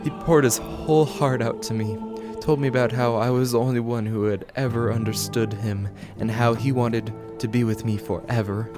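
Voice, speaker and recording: An actor playing a remorseful teenage high school boy in an audio drama, earnest and halting in his delivery. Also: fairly even intonation, music in the background, lightly acted. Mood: regretful. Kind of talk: scripted audio drama acting.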